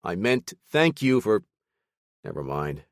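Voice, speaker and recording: A man's voice: on the low side, sing-song, slow pace, dry recording, clean studio sound, voice pushed up. A man voicing a short-tempered male team leader, speaking with strained patience. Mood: exasperated.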